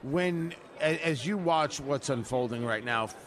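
A man hosting a radio interview at a political conference, talking in a brisk, conversational way. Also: medium pitch, fairly bright tone. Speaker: radio host.

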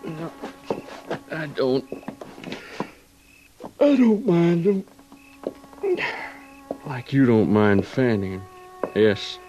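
An American actor playing a worried, tender young cavalry trooper husband in an old-time radio drama, with a folksy rural way of talking. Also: male voice, medium pitch, expressive, very slow, long pauses, muffled recording, soft background music. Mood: wistful, tender. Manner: folksy rural drawl, gentle and earnest.

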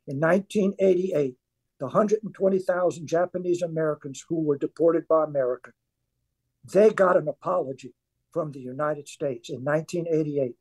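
A man's voice: on the high side, a little mellow, slightly rough, rough recording. An older man from South Louisiana with a Cajun accent, telling his story earnestly and emphatically as a guest in a radio interview. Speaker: author and former weightlifter, guest.

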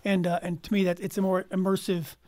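High-voiced man, close and dry. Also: level tone, a little fast, a little mellow, no echo. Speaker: panel guest from an audio drama team. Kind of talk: casual explanatory chat.

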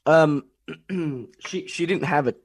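Nasal, congested young man, halting co-host banter, dry close sound. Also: on the high side, husky, no echo. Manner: halting, many restarts and fillers. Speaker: podcast co-host. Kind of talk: casual chat. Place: at home.

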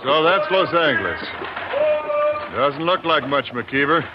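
An actor playing a grim, determined young avenger, terse and serious, in a muffled 1950s radio western. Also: male voice, fairly high pitch, expressive, a little slow. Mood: unimpressed. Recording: muffled vintage radio recording, faint noise.

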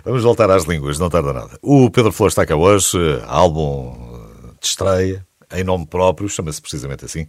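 A man with a European Portuguese accent hosting a radio show, asking quick, chatty questions. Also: low-pitched, expressive. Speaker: radio host. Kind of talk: casual radio interview.

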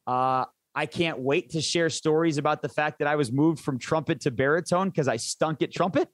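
A man's voice: on the high side, moderate pace, no room sound. A man who works as a sports play-by-play announcer, chatting casually and enthusiastically in an interview, rambling into detail.

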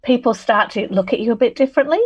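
Interview guest: woman, 40s, Manchester accent, candid and reflective, laughs easily, fairly high voice. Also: quick pace, slightly rough, lo-fi sound. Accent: Mancunian English. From England. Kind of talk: conversational interview.

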